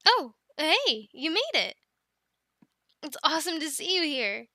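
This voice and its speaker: Casting: woman to play young female Viking dragon keeper, ASMR roleplay. High-voiced, unhurried, cheerful, affectionate and chatty. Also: very animated, bright, forceful tone, no echo, studio quality, exaggerated voice. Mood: friendly, welcoming. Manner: chatty, affectionate coaxing and explaining. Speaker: amateur audio roleplay performer. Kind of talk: ASMR roleplay acting, speaking to the listener.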